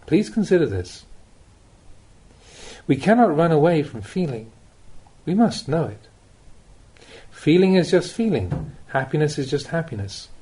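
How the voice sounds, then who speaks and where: A man reading a spiritual teaching aloud as an audiobook, with measured, deliberate phrasing and pauses. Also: on the high side, big pitch swings, mellow, slight background noise. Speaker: Buddhist monk reading recorded teachings. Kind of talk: audiobook reading of a Dhamma talk.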